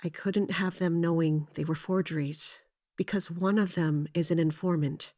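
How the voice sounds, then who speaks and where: A woman playing a loyal mercenary captain in a fantasy audio drama, speaking formally and with measured, respectful defiance. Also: fairly low pitch, fairly even intonation, dark tone, close to an everyday voice. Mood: guarded, formal. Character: loyal, resolute female mercenary captain serving royalty.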